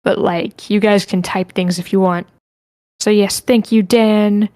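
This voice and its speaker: A woman's voice: medium pitch, fairly even intonation, unhurried, a little mellow, no echo. Casual woman moderating, close dry sound. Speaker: host moderating a script feedback session. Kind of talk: casual meeting moderation, reading chat messages aloud. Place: online group meeting.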